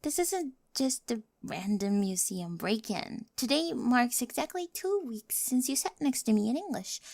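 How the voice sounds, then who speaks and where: A woman's voice: high-pitched, gravelly, darkish tone, dry recording, clean studio sound, exaggerated voice. A woman in an ASMR roleplay, playing a quirky, awkward teenage girl with a crush who rambles nervously and stammers to the listener. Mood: nervous, earnest. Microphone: close microphone, dry.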